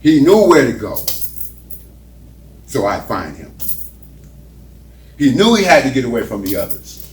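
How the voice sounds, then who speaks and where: A man playing Judas as a guilt-ridden betrayer in a church play monologue, explaining himself theatrically with halting, dramatic pauses. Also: mid-range voice, big pitch swings. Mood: haunted, regretful. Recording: roomy echo, distant mic, faint noise.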